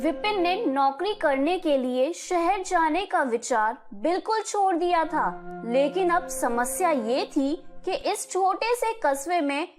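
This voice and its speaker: A woman narrates a moral story in a high voice. Her scripted delivery is slow and measured, with music clearly playing behind her. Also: expressive.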